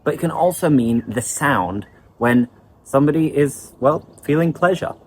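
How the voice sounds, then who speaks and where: A man teaching English vocabulary in an online lesson, explaining words in a lively way. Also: on the high side, moderate pace, a little hiss or hum.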